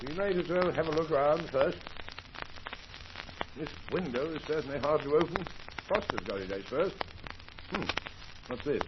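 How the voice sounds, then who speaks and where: A man's voice: a little high, lively intonation, normal speed, pauses often, close to an everyday voice. A man playing a shrewd, grave doctor who investigates a crime in an old-time radio mystery. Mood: pondering. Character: shrewd doctor sleuth, grave, certain, accusing. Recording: muffled, noisy old radio recording.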